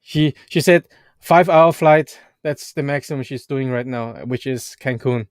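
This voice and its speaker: A man whose first language is German, hosting a casual livestream chat with halting, animated talk. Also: medium pitch, clean tone, no room sound, clean studio sound. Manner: halting, restarts and fillers, animated. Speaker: vlog host. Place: Edmonton, Canada.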